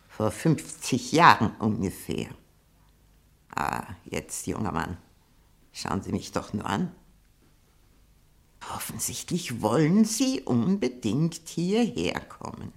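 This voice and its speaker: A very old, shrewd spinster in a radio drama. Her voice is low and cracked with heavy creak, and she talks slowly and haltingly. She is haughty, blunt and hungry for money. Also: exaggerated voice. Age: older. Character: very old, shrewd, greedy, imperious spinster aunt. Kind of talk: 1960 German radio play acting.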